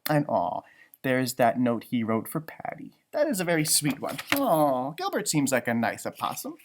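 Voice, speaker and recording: A man hosting a storytime for children, reading and chatting in a theatrical, halting, conversational way. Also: mid-range voice, big pitch swings, slight background noise. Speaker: storytime host and teacher. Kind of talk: children's storybook read-aloud with chatty asides.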